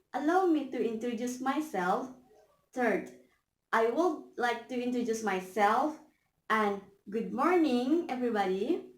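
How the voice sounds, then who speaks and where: Slow, careful young woman teacher. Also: a little high. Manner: slow, careful explaining with hesitations. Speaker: student teacher. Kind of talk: simulated classroom lesson. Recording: echoey room.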